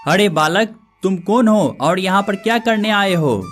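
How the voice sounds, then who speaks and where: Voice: mid-range voice, unhurried, faint music behind, close to an everyday voice. An actor voicing a solemn old holy man who guards a cave in a cartoon story, stern yet kindly. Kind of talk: cartoon moral story dialogue.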